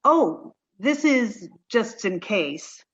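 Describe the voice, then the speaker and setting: An actress playing an apologetic, grieving forty-three-year-old artist in a play reading, with a halting, emotional delivery. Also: female voice, medium pitch, sing-song, slightly rough, muffled recording, full character voice. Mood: upset, apologetic.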